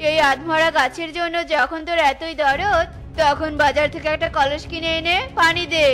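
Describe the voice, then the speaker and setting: Woman doing greedy braggy boy crow, really high voice, scornful, kids cartoon w music. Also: steady tone, moderate pace, a bit bright. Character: selfish, boastful male cartoon crow, greedy elder brother. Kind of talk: children's cartoon dubbing.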